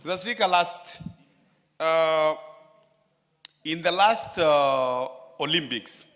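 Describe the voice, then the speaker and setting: A man addressing parliament in a floor speech, often turning to the Speaker and pausing on fillers. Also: a little high, animated, a bit bright. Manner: addresses the Speaker repeatedly, with many filler pauses. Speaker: member of parliament. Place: large parliamentary chamber. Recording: chamber echo with long reverb.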